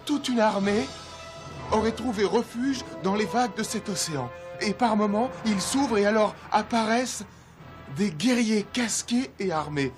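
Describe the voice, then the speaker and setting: An actor playing an earnest, wide-eyed young prince who longs for love, in a dubbed animated fairy tale. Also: medium pitch, a little slow, natural voice. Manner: earnest, wondering. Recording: music behind the voice.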